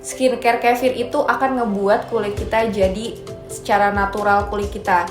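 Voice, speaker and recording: A young woman in her twenties, a brand founder chatting casually and upbeat as she answers viewer questions. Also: mid-range voice. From Indonesia. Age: 20s. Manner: chatty, upbeat, mixes in English phrases. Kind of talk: casual chat. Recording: echoey room with soft music.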